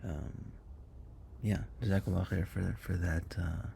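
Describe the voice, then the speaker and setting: Native Arabic-speaking man, dark mellow low voice, relaxed and chatty, teaching with frequent fillers. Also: a little slow, gravelly, a little hiss or hum. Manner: conversational, many fillers and self-corrections. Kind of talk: informal online lesson on Arabic morphology.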